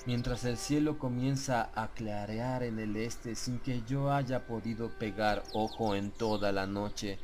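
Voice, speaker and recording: A man narrating a scripted horror audiobook in a grave, measured, suspenseful way. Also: medium pitch, a little mellow.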